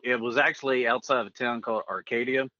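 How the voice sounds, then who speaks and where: A man's voice: medium pitch, fairly even intonation, fast, sharp tone, no echo, highs cut off. A middle-aged American man from Oklahoma telling a personal story as an interview guest in a folksy, rambling way. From northeastern Oklahoma, USA. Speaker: guest, retired Air Force veteran.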